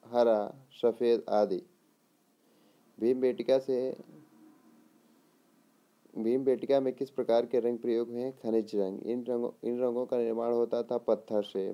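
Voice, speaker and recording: A man giving an exam lesson read from notes in a deliberate way, posing questions and answering them, sometimes repeating words. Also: lowish voice, warm tone, highs cut off. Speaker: teacher.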